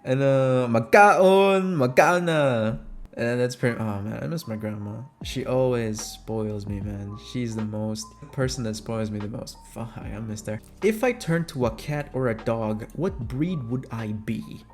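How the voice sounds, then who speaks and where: A man's voice: mid-range voice, unhurried. Young man chatting over music. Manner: informal, swears casually. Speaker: ASMR audio creator answering fan questions. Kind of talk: casual question-and-answer chat.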